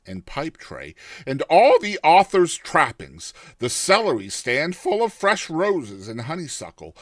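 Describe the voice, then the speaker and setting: A man narrating a classic short story in a theatrical, expressive first-person style, like a dramatized audiobook. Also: medium pitch, dry recording, clean studio sound.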